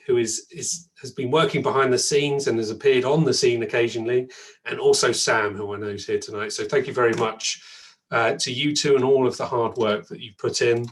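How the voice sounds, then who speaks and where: A man's voice: mid-range voice, fairly bright tone. A man hosting an online event, chatty and thankful, with frequent ums and restarts. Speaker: event host.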